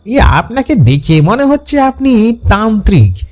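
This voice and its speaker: A man acts out a wary village local in a cartoon ghost story. The voice is high-pitched and leaps wildly up and down as he gives folksy warnings, with music underneath. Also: very animated, measured pace, darkish tone. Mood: wary, helpful. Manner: high, swooping, cautionary folksy delivery. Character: ordinary male villager warning a traveller away from a cremation ground.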